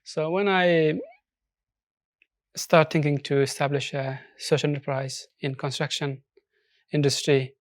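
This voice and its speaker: A man from Afghanistan whose first language is Persian, speaking in a studio interview with earnest, halting English full of restarts. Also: a little high, fairly even intonation, no echo. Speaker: social enterprise founder.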